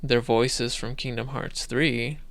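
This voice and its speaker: A man's voice: mid-range voice, steady tone, slow, fairly bright tone, no echo. A man co-hosting a podcast in casual chat, thinking aloud as he talks. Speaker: podcast co-host.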